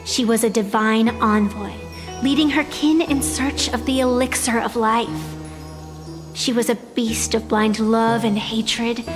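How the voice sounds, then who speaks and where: A woman playing an ancient, proud arbitrator of deadly games in a video game, speaking in a solemn, deliberate, poetic way over music. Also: fairly high pitch. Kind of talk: scripted video game voice acting, dramatic storytelling.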